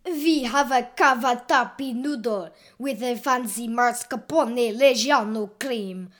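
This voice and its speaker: A woman voicing a short, round, polite and eager mushroom waiter in a storybook, with an Italian accent and a showy, drawn-out delivery. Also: fairly high pitch, fairly bright tone. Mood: courteous.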